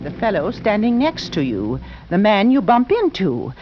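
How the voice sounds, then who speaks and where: Fretful London housewife, swooping voice. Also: female voice, medium pitch, huge pitch swings, normal speed. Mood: anxious, gossipy. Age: middle-aged. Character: anxious middle-aged London housewife in squalid Whitechapel. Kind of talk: 1940s radio drama acting. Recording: dull, noisy 1940s radio recording.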